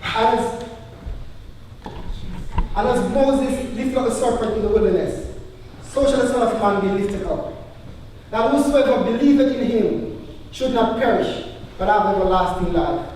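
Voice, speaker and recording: A man playing a solemn teacher with calm authority in a live church drama, reciting scripture in emphatic, measured phrases. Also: high voice. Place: church hall, recorded live. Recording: very echoey hall, distant mic, faint noise.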